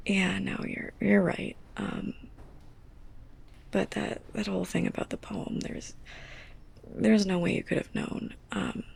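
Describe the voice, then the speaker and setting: Woman acting a creaky, hushed, lovestruck library assistant. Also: mid-range voice, flat delivery, exaggerated voice. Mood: flustered, hopeful. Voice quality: soft, breathy, often near a whisper. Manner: halting, awkward, self-conscious. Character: sarcastic, lovestruck young woman librarian's assistant, prickly and flustered. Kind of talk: ASMR roleplay, intimate monologue to the listener.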